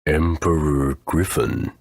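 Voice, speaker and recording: Very deep, husky creature elder. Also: male voice, steady tone, no echo, full character voice. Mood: weary, grave. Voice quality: husky, rumbling. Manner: slow, grave, formal. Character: aged, solemn male elder of a creature tribe. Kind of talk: video game voice acting.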